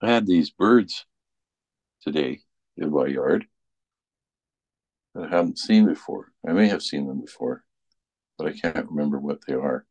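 A middle-aged man with a strikingly low voice talks in a loose, folksy way. He rambles casually as a presenter in informal chat. The sound is dry, with little room to it. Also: lively intonation, a little slow, long pauses, darkish tone, breathy edge, dry recording. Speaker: radio show presenter.

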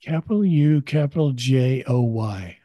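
A man's voice: mid-range voice, animated, a little slow, no room sound, lo-fi sound. A man on a radio panel chatting casually in a teasing, needling way. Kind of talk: casual chat.

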